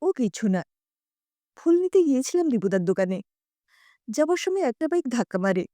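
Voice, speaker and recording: A woman voicing a gentle, dejected husband who speaks in a subdued, resigned way in an audio story. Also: high voice, big pitch swings, normal speed, no room sound. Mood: quietly hurt. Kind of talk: dramatised audio story dialogue.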